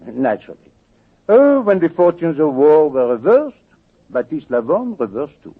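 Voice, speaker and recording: Slick french crook from an old radio show, oily polite, voice swoops around, tinny sound. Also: male voice, a little high, big pitch swings, normal speed, husky, heavily acted. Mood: smooth, smug. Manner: oily, mock-polite, hesitant formal phrasing. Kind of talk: 1940s radio drama acting.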